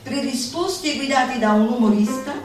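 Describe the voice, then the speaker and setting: A woman performer reading a manifesto as theatrical declamation, deliberate and declamatory, with dramatic pauses. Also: high voice. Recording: roomy, echoing, distant mic, faint noise.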